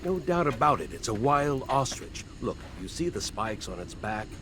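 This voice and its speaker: An actor playing an eccentric, pedantic scholar with a formal, fussy way of talking in a sci-fi video game. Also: male voice, mid-range voice, very animated, moderate pace, a bit bright, slight background noise. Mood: fascinated, lecturing. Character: eccentric, pedantic, wordy scholarly man on Mars.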